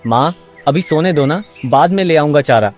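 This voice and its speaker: A man's voice: on the high side, animated, normal speed, soft background music, natural voice. Man acting sulky, lazy young village son. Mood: grumbling. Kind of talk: cartoon moral story voice acting.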